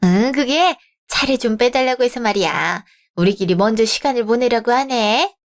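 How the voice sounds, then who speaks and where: A pushy middle-aged mother-in-law-to-be, voiced by a woman in a radio drama, with a raised, higher-pitched voice. She is blunt and patronizing, a mother who looks down on her son's bride. Her apologies come out stiff. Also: lively intonation, normal speed. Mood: condescending.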